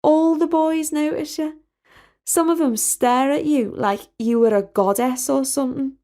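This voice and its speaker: A woman's voice: high voice, unhurried, mellow, pitched above the performer's own voice. A woman voicing a sceptical, down-to-earth young female college student in a storybook, with blunt, colloquial speech. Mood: reassuring. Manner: colloquial, blunt, casual wording.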